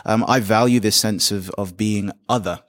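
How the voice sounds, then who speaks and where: Thoughtful man, low voice. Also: steady tone, normal speed. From London, Britain. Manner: reflective, thoughtful, with frequent restarts. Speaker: poet and writer. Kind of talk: panel discussion.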